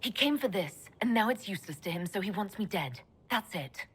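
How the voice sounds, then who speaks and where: An actress playing a sharp, sardonic female elf mage who speaks in a dry, curt, skeptical way in a video game cutscene. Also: mid-range voice, animated, fairly quick, breathy edge. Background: game score music.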